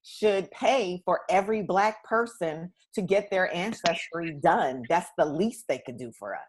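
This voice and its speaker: A woman's voice: lowish voice, measured pace, slight background noise. A woman co-hosting a candid conversation, often agreeing briefly and pushing back. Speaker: co-host.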